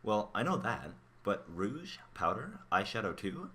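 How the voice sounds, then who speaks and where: A man acting as an overworked, excitable robotics researcher in a radio play, informal and gossipy. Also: fairly low pitch, measured pace, full character voice. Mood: agitated, chatty. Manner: animated, exclaiming, informal.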